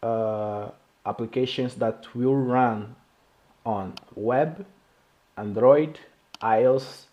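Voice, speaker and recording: A man with a non-native English accent giving an informal technical tutorial in a halting, repetitive way, often checking in with a short question. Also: medium pitch, warm tone, dull audio. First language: not English. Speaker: programming video creator.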